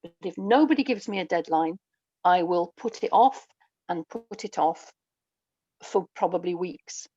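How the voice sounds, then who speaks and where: A woman's voice: fairly low pitch, expressive, unhurried, mellow, slightly rough, dry recording, dull audio. A woman from England with an English accent, speaking reflectively and articulately as a guest in a casual interview. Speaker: guest, writer and audio dramatist.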